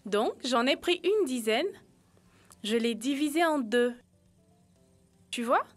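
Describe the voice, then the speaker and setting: A woman's voice: high voice, expressive, slow pace, soft background music, heavily acted. A woman voicing an earnest, helpful young animal in a French educational cartoon, explaining things step by step.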